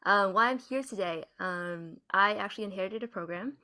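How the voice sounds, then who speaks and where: A young woman who teaches high school French, introducing herself politely and hesitantly on a video call. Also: normal speed, pushed and bright. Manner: polite, hesitant, filler-heavy.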